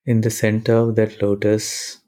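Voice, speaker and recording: A man leading a guided meditation and prayer in measured, deliberate short phrases with pauses between them. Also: lowish voice, completely flat delivery, dark tone.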